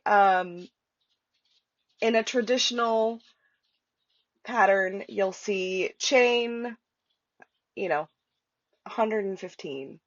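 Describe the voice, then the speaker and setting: A woman's voice: mid-range voice, a bit bright, no room sound, muffled recording. A woman with a stuffy, congested voice hosting a casual crochet tutorial at home, chatty and full of fillers. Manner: chatty, lots of fillers and restarts. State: stuffy, nasal from a cold. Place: home with dogs walking around. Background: dog nails clicking on the floor.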